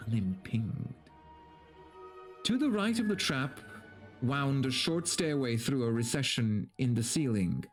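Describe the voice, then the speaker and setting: A male streamer gives a dramatic reading in a medium-pitched voice over video game music. His delivery is theatrical for the prose and turns casual and profane for his amused asides. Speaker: streamer reading a story aloud. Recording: background music under the voice.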